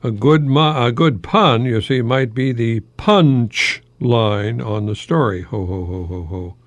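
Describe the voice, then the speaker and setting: An older American man hosting a folksy solo radio talk in a halting, rambling, easily amused manner. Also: mid-range voice, dry recording, muffled recording. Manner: chuckles often at his own remarks, halting and rambling. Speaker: radio host, longtime English professor.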